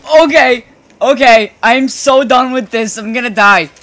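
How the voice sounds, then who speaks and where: Teen gamer guy, high bright voice, panicky and giggly, going a bit hoarse, headset mic. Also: a little slow. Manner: excitable, panicky, laughs a lot. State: voice getting hoarse. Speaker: gaming channel host. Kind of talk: casual gameplay commentary with friends.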